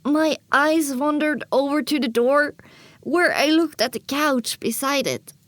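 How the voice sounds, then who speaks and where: A woman narrating a first-person story as an audiobook, expressive and dramatic, on a close microphone. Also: high voice, measured pace, no echo.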